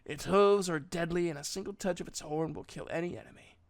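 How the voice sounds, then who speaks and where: A man voicing a proud elf mage in a storybook reading, a gruff but kindly mentor with emphatic, old-fashioned speech. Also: a little high, brisk. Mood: approving, lecturing.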